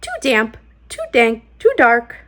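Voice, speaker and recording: A woman voicing a tiny, timid but kindly storybook mouse, squeaky, in short clipped phrases. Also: sing-song, slow pace, a bit bright, exaggerated voice. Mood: nervous.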